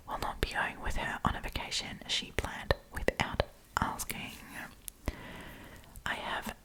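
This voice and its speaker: A woman whispering in a breathy, chatty, informal way as she hosts an ASMR storytime, reading aloud and commenting. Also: poor audio. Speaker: host of storytime series.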